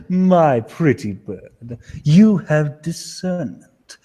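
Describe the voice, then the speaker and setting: A man's voice: on the high side, fairly bright tone, breathy edge, exaggerated voice. A man acting a boastful, lustful king of winged Hawkmen in an amateur radio serial, grandiose and menacing. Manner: grandiose, slow, menacing delivery.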